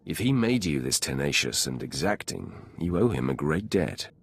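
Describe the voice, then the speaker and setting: An actor playing a skeptical, commanding dark lord in a video game, curt and authoritative in manner. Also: male voice, a bass voice, sing-song, moderate pace, slightly rough, full character voice. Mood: challenging, measured.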